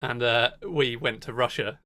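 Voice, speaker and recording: A man co-hosting a podcast, chatting informally in a slightly halting way. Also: mid-range voice, steady tone, pushed and bright. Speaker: podcast co-host, band tour manager. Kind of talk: casual podcast chat.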